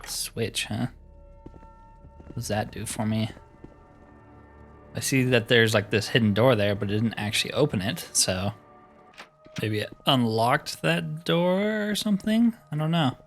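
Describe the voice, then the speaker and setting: A man's voice: medium pitch, lively intonation, measured pace, long pauses. Man casually narrating his game, music behind. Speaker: gaming video host. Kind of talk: casual game playthrough commentary.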